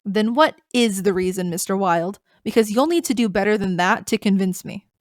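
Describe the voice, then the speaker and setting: A woman voicing a sharp, dismissive female rabbit prosecutor with a curt delivery. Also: mid-range voice, moderate pace, no room sound, studio quality, close to an everyday voice. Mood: cutting. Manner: curt and cutting. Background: background music.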